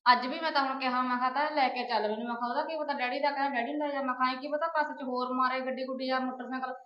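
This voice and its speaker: A woman's voice: sits high, steady tone, rapid-fire, roomy sound, muffled recording, a little hiss or hum, close to an everyday voice. A woman acting a young married daughter with a Punjabi accent, confiding her grievances to her mother in a conversational family drama. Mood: resigned.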